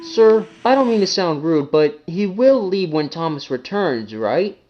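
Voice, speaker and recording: Man as small green engine, storybook, earnest, cautious, fairly high, lively. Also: measured pace, lo-fi sound, noisy background. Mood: wary. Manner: polite, earnest, explanatory.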